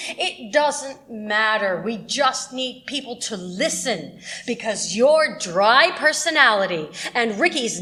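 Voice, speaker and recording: Actress playing female station manager, higher-pitched, bitter, snappish, domineering, radio play delivery. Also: full character voice. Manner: exasperated, domineering, sharp-tongued.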